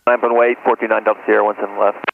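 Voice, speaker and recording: Male pilot, lowish voice, extremely quick clipped callsigns, thin radio transmission with slight static. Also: little pitch movement, gravelly. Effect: aviation radio. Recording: tinny, noisy aviation radio transmission.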